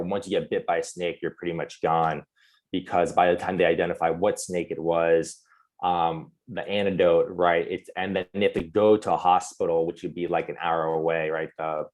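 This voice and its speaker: Halting young man on a video call. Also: low voice, a little mellow. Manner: halting, many fillers and restarts. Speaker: webinar panelist. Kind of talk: casual webinar talk.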